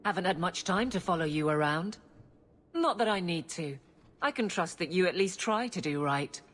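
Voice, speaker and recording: An actress playing a dutiful captain of the city guard in a video game, with a dry, matter-of-fact manner. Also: female voice, lowish voice, animated, moderate pace, a little hiss or hum, natural voice. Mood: firm, candid. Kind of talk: video game cutscene acting.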